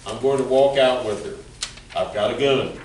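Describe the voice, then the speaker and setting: A smooth-talking male villain with an average-pitched voice, acted by a man in an amateur play. He is flirtatious and menacing in a plainly read way. The voice comes from off in a roomy, slightly noisy space. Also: measured pace, lightly acted. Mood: threatening. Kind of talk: amateur community theatre reading. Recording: roomy, distant mic with background noise.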